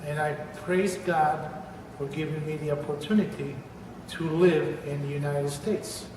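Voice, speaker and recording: A man's voice: on the high side, fairly even intonation, background noise. Man in his 60s, Argentine accent, earnest testimony, far-off mic in an echoey hall. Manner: earnest, deliberate, repeats words for emphasis. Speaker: church member giving testimony. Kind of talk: personal religious testimony.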